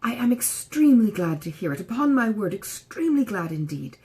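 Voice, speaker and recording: A woman voicing a pompous, effusive, self-satisfied gentleman in an audiobook, gushing and fussily over-polite. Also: medium pitch, sing-song, brisk, lightly acted. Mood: effusive, smug. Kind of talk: audiobook character dialogue.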